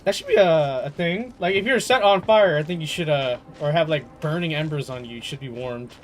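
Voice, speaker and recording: A man's voice: a little high, a little fast, a little hiss or hum. A man giving casual gameplay commentary, musing aloud and rambling as he plays. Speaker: game streamer. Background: game music.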